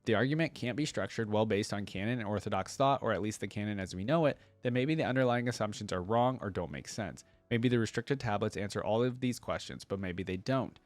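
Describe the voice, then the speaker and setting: A man's voice: on the low side, fairly quick, hardly any pauses, dry recording. A man hosting a fan reaction video, talking informally and reading story text aloud. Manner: informal, conversational.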